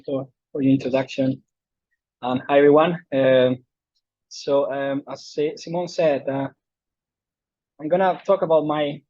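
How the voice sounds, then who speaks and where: A man's voice: on the high side, darkish tone, dry recording, highs cut off. A middle-aged man from southern Spain with a Spanish accent, giving a conference talk with many fillers and restarts. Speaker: invited speaker. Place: lecture room.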